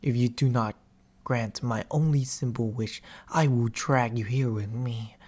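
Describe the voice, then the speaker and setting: A man playing a soft-spoken, teasing sleep paralysis demon in an ASMR roleplay, speaking breathily and often whispering, with slow, theatrical intimacy close to the mic. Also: mid-range voice, fairly even intonation, close to an everyday voice. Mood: menacing. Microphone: close binaural microphone.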